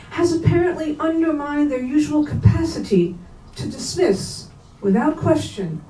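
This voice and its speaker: A woman reading literary prose aloud at a bookstore event, with measured, deliberate phrasing. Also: a little high, warm tone. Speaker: reader at a staged literary reading. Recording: roomy, distant mic, faint noise.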